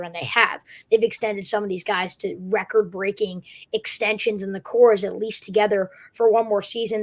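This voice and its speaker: A woman hosting a sports show, asking long, steady questions with casual fillers. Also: mid-range voice. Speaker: show host, interviewer.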